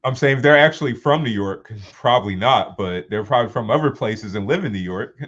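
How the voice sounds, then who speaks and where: A man's voice: medium pitch, lively intonation, a little fast, fairly bright tone, lo-fi sound. A man co-hosting a podcast, giving plain, matter-of-fact remarks in casual chat. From United States. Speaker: podcast co-host.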